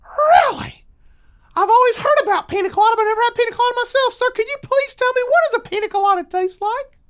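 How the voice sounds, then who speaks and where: A man's voice: very high voice, animated, moderate pace, bright, forceful tone, voice pushed up, exaggerated voice. A man voicing an eager, chatty ten-year-old American boy in a comic story, wide-eyed and emphatic. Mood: amazed.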